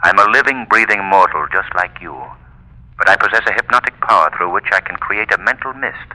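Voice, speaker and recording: An actor playing a mysterious invisible crime fighter, speaking with measured reassurance through a telephone-like filter in 1930s radio mystery style. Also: male voice, on the low side, sharp tone. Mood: calm, reassuring. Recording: echoing 1930s radio recording.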